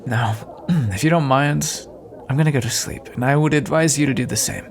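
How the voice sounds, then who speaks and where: Man acting a wry, gravelly roguish thief. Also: medium pitch, normal speed, a little hiss or hum, natural voice. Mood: teasing, sardonic. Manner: sardonic, teasing, conversational. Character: roguish male thief escorting a deposed queen, wry but decent. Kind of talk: audio roleplay acting, addressed to the listener.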